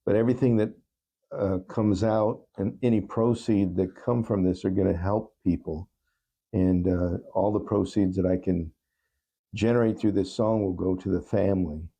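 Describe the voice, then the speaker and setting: A man with a low, husky voice and plenty of gravel in it. He talks earnestly and conversationally, as a musician being interviewed. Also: fairly even intonation, normal speed, dark tone. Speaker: guest, musician and songwriter.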